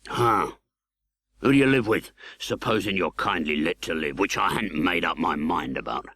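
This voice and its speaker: Harsh, rasping English convict. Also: male voice, deep voice, lively intonation, moderate pace, sharp tone, exaggerated voice. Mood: gruff, probing. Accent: English working-class dialect. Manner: threatening, growling, uneducated speech. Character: menacing, rough escaped convict in a graveyard. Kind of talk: audiobook character acting.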